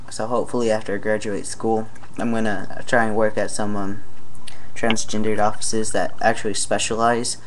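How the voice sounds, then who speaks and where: A young man with a deep voice, talking casually in a vlog, rambling with many fillers and trailing off into quiet asides. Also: slight background noise, low-quality recording. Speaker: vlogger.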